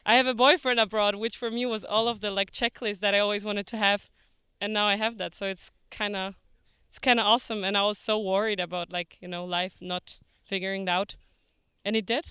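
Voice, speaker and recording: A woman's voice: medium pitch, fairly even intonation, fairly quick, bright, forceful tone, smooth, dry recording, clean studio sound. A young woman from Poland, chatting casually in a street interview with frequent laughs and fillers.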